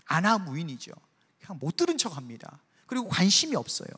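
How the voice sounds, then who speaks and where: A man preaching in a church sanctuary, his voice rising and falling with great animation and a long reverb trailing each phrase. He is a pastor from Korea's Jeolla region who presses his points with emphatic rhetorical questions. His voice sits on the higher side. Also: wildly swooping pitch, a little fast, bright, forceful tone, slightly rough. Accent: Seoul Korean, trying to hide his Jeolla dialect. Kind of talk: sermon.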